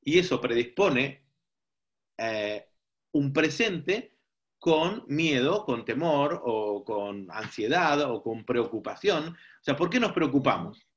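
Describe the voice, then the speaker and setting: A man's voice: mid-range voice, animated, slow pace. A man giving an informal teaching talk to a group, reflective and often checking in with rhetorical questions. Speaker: seminar teacher.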